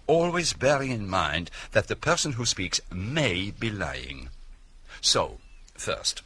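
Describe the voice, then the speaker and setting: Shrewd detective, bright-voiced and animated. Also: male voice, lowish voice, husky, full character voice. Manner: deliberate, probing, rhetorical questions. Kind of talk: radio drama acting.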